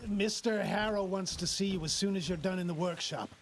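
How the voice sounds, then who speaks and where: Deferential male messenger, high voice, formal, clicks and hoof-like noise behind. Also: a little fast. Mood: dutiful. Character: polite, dutiful male messenger. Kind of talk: cinematic game acting.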